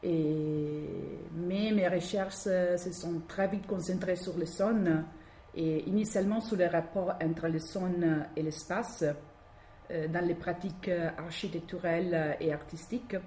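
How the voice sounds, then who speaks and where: A woman from Italy speaking with a strong Italian accent, giving an academic talk and often pausing to search for words. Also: lowish voice, fairly even intonation, mellow. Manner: halting, searching for words with many fillers. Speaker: guest lecturer. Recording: echoey room, faint background noise.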